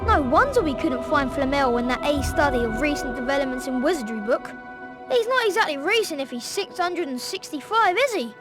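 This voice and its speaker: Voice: high voice, very animated, a little fast, over music. A performer playing an eager, plain-spoken young schoolboy wizard in a video game, blunt and chummy. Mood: baffled. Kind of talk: video game cutscene acting.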